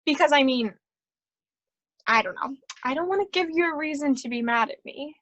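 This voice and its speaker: An actress playing a polite, nervous, apologetic office newcomer, earnest and hesitant, in a staged play reading. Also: female voice, sits high, expressive, moderate pace, a bit bright, no echo, muffled recording. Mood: uneasy.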